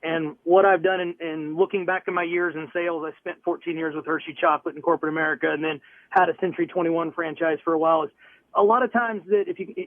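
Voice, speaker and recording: An upbeat, earnest man from Southwest Missouri talks plainly about his business as a radio guest. His voice sits a little high. It comes through a tinny, dry phone line. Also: steady tone, fast talker, no room sound. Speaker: real estate broker, guest. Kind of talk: radio interview answers. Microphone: telephone.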